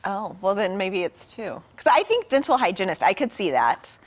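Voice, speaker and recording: A woman's voice: medium pitch, big pitch swings, moderate pace. A woman who works as a TV meteorologist, chatting casually and playfully on a morning show.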